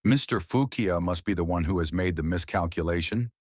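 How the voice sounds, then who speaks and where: A man's voice: sits low, a little fast, darkish tone, dry recording, studio quality. A man doing a sly, smug prosecutor. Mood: sly, assured. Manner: sly, assured. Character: smug male district attorney, middle-aged.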